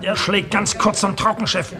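A man acting a jocular sergeant in a 1960s radio crime play. The voice is high for a man, wry and clipped, with a busy crowd behind. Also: little pitch movement, normal speed, lightly acted. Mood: wry, rueful. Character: jocular male police sergeant, boxing enthusiast.

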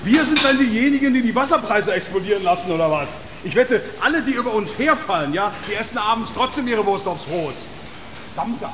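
A blustering pig farmer with a high voice that swings widely as he argues. He is defensive and aggrieved, speaking in a documentary-style radio play over clear background ambience. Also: lively intonation, fairly quick, noisy background. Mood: angry, aggrieved. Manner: blustering, grumbling, swears.

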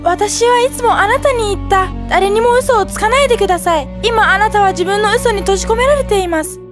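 Woman doing a sweet scared miller's girl, super high voice, music in the back. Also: a little fast. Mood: scolding. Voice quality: very high girlish voice. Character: young miller's daughter, gentle and frightened. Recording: background music.

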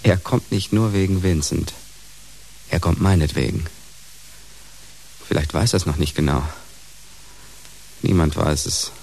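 An actor in a radio drama playing an insecure, secretive man who speaks haltingly. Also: low-pitched, gravelly, a little mellow, a little hiss or hum, poor audio. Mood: secretive, reflective. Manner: hesitant, halting. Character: insecure, secretive man in hopeless love with his doctor. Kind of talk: radio drama acting, with inner monologue.

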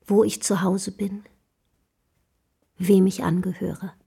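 A woman's voice: medium pitch, flat delivery, takes it slowly, creaky, mellow, breathy edge, full character voice. A fervent, provoking young literary woman. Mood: rapturous, yearning. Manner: theatrical, pointed, literary diction. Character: passionate young literary woman, bold, provoking admirer of a poet. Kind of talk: German radio play acting.

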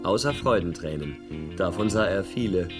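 A man's voice: low voice, expressive, normal speed, over music. A man giving scripted storybook narration in a radio play.

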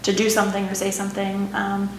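A woman's voice: medium pitch, completely flat delivery, fast, roomy sound, slight background noise, low-quality recording. A woman teacher co-hosting a podcast, thoughtful and hesitant, with frequent ums. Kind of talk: casual educational podcast chat.

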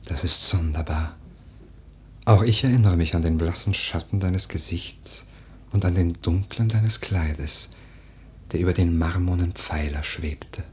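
A man playing a grave, melancholy noble widower in a German literary radio play, earnest and deliberate in speech. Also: low-pitched, darkish tone, a little hiss or hum. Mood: grave, pensive. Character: grave, melancholy noble widower, tender toward his cousin.

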